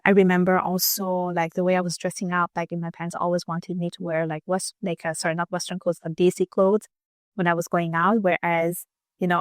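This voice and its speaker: A woman's voice: lowish voice, dry recording. A young woman from Italy, a podcast guest who answers reflectively, with many fillers and restarts. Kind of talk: conversational interview answers.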